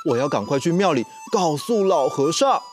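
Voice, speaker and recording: Man playing an earnest, worried young nobleman, animated. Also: fairly high pitch, moderate pace, faint music behind. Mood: dismayed worry. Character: kind, filial young nobleman, worried for his mother.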